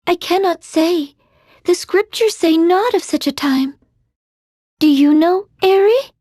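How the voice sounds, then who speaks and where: A young priestess from a video game, high in voice and solemn in manner. She phrases things formally and with devout earnestness. The sound is dry and clean of any room. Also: takes it slowly, mellow, no room sound. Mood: grave, uncertain.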